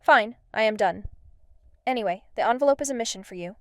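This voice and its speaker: Woman as a confident, higher-voiced female spy. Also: animated, brisk, background noise. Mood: playful, brisk. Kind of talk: audio drama dialogue.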